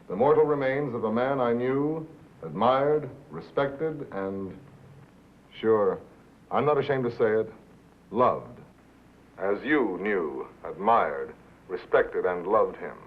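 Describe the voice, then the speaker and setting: A man's voice: medium pitch, natural voice. An actor playing a wise-guy radio reporter in a 1950s film drama. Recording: tinny, narrow 1950s film soundtrack, faint noise.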